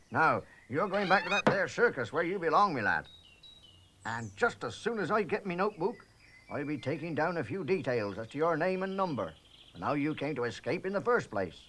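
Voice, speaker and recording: A man voicing a pompous, bumbling rural police constable in slow countrified dialect. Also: a little high, a bit bright, slight background noise. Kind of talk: 1960s children's TV animation voice.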